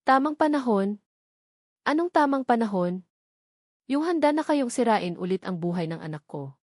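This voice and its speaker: A woman's voice: fairly high pitch, expressive, moderate pace, darkish tone, no echo, studio quality. A woman voicing a furious middle-aged mother who scolds with indignant, accusing questions, in an audio drama.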